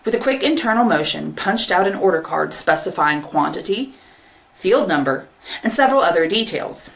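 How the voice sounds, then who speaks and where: A woman's voice: lowish voice, expressive, normal speed, vocal fry, a bit bright. A woman English teacher reading a short story aloud as scripted narration.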